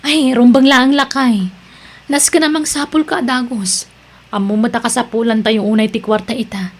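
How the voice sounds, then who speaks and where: Woman acting high-voiced, husky Ilocano wife, worried and practical. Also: steady tone, moderate pace, natural voice. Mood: concerned. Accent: Ilocano Filipino. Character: practical wife worried about money, married to farm worker. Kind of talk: Ilocano radio drama acting.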